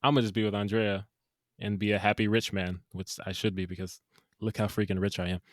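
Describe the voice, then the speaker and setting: A man co-hosting a casual movie review podcast, animated and jokey with quick asides. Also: lowish voice, no room sound, clean studio sound. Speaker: podcast co-host.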